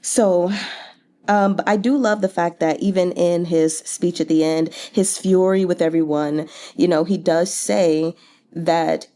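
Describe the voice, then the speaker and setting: Woman, soft mellow tone, casual book vlogger, conversational, expressive, incredulous asides. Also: lowish voice, unhurried. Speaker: book read-along vlogger. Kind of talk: casual book recap commentary.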